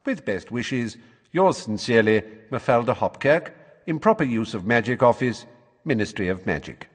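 A man's voice: medium pitch, animated, normal speed, in a large hall, lightly acted. Man reading as officious, lilting female ministry official. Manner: formal, officious.

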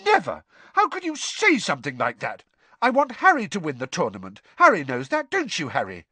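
Man acting teenage schoolgirl, storybook, high shrill voice, bossy, indignant, emphatic. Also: very animated, normal speed, a bit bright, no echo, heavily acted. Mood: outraged.

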